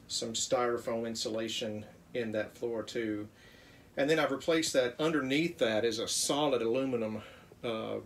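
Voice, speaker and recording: Rambling man filming a handheld walkthrough. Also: medium pitch. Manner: rambling, halting, many ums and uhs. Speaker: DIY renovator. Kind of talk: casual walkthrough vlog. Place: inside a trailer, then outdoors in a yard. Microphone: handheld phone camera at arm's length. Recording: noisy phone recording.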